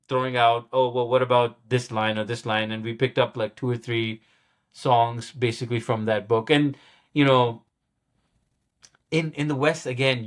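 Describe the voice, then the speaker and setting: A middle-aged man with an ordinary mid-pitched voice, speaking as a guest in a relaxed interview. He talks in a halting way, restarting and repeating words as he gathers his thoughts, and often gives a small laugh at himself. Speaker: guest musician. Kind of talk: casual interview storytelling.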